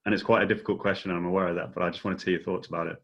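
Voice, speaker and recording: A man's voice: low voice, level tone, racing, a bit bright. A man posing questions in a panel discussion, hesitantly and carefully searching for his wording. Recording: dull, lo-fi audio.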